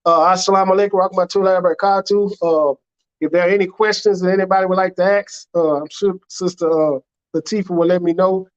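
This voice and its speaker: A man's voice: high voice, steady tone, fast talker. An American man speaking as an imam, giving an earnest, plainspoken religious address that leans on repeated phrases. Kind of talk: sermon, religious public address. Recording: dull, lo-fi recording.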